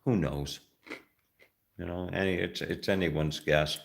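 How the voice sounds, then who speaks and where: A man from the United States with an extremely low, deep voice, talking loosely to the camera as a travel vlogger. He rambles, halts and restarts, filling gaps with "uh" and "you know". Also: steady tone, vocal fry. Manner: rambling, halting, many fillers and restarts. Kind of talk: casual vlog talk.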